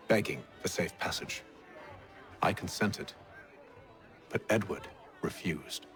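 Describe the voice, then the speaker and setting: An actor playing a composed, commanding gentleman schemer in a video game, speaking in a formal, measured, resolute way. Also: low voice. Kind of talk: dramatic video game acting. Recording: faint background music under the voice.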